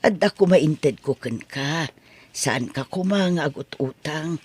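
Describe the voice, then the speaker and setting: A man acting as a gentle, worried, apologetic mother in an Ilocano audio drama, with an Ilocano accent. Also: on the high side, takes it slowly, slightly rough. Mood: apologetic, concerned. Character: worried, gentle, poor mother who takes in laundry.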